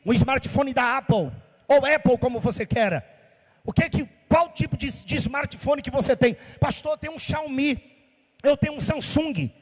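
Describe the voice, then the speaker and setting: A man's voice: extremely high pitch, animated, normal speed, breathy edge. A man from Bahia, Brazil, preaching a sermon in a sing-song cadence, firing rhetorical questions at the congregation. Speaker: pastor. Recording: long hall reverb.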